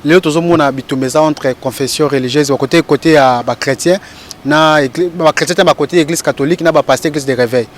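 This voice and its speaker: A man presenting a talk show, briskly laying out the sides of a debate. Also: on the high side, slight background noise. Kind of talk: introducing a debate topic.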